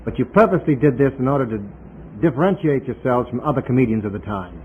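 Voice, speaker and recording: A man's voice: mid-range voice, lively intonation, fast talker. A man interviewing conversationally on the radio, asking wordy questions and interjecting attentively. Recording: noisy, tinny 1950s radio interview recording. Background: clear hiss and noise.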